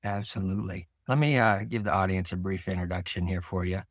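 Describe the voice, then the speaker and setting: American guy podcast host, really low voice, dry recording, conversational interviewer. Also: fairly even intonation, brisk, no room sound. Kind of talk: interview hosting, conversational with read-out introduction.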